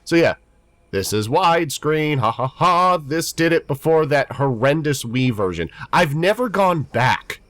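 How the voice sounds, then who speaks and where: A man's voice: on the high side, expressive, a little slow, a bit bright, no room sound. A man in his twenties, stuffy from a head cold, giving rambling, chatty let's-play commentary. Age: 20s. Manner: rambling, chatty, self-deprecating. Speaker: gaming YouTuber.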